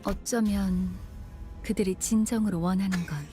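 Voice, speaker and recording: A woman's voice: mid-range voice, fairly even intonation, rapid-fire, warm tone, soft background music, close to an everyday voice. An actress voicing a composed, analytical woman who speaks politely in a video game. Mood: calm, measured. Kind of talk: Korean game voice acting.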